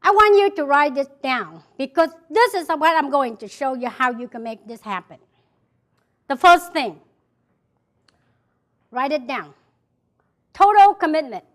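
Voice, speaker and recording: Vietnamese accented woman, high voice, pumped up motivational talk at an event, says key lines twice. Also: expressive, unhurried, pauses often, a bit bright. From South Vietnam. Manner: emphatic, repeats key phrases, addresses listeners by name. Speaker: motivational speaker. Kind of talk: motivational speech to a live audience. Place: event hall before an audience.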